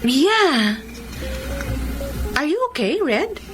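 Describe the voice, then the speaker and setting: Sweet, evasive young girlfriend for radio romance; high, very animated voice. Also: extremely slow, exaggerated voice. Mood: concerned. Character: Ayesha, young girlfriend hiding secrets, sweet but evasive. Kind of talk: Filipino radio romance drama acting. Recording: radio drama with music underneath.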